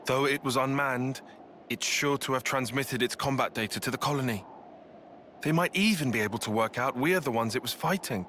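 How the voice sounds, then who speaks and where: Man acting a bright-voiced, serious young soldier. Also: mid-range voice, normal speed, slight background noise, close to an everyday voice. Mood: calm, serious. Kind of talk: English game cutscene voice acting.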